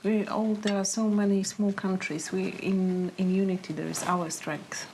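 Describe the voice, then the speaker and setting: A woman from Poland, Polish her first language, answering interview questions in halting English with a Polish accent. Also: mid-range voice, little pitch movement, darkish tone, a little hiss or hum, rough recording. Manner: hesitant, halting English with fillers. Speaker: interviewee, parent.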